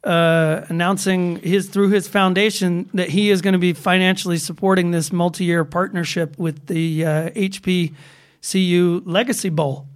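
A man with a high-pitched voice co-hosts a casual talk show. He recaps news stories in a loose, meandering way, full of fillers and restarts. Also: level tone, moderate pace, smooth. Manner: rambling with many fillers and restarts. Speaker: podcast co-host. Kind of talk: casual chat.

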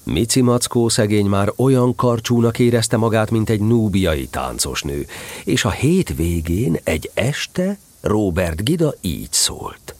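A man narrating a children's story expressively, with a husky, breathy voice. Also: lowish voice, lively intonation, a little mellow, no echo.

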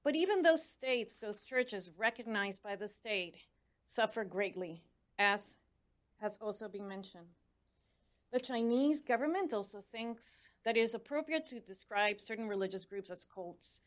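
A woman with a Spanish accent, her first language, delivers a prepared speech at a mid pitch. She speaks earnestly, urging her listeners to keep going, and now and then trips over foreign names. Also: expressive, measured pace. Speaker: commissioner on religious freedom.

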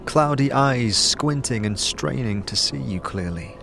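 Gravelly male fantasy narrator. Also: medium pitch, expressive, a little slow, fairly bright tone. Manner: descriptive, storyteller-like. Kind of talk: scripted second-person fantasy narration. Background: music.

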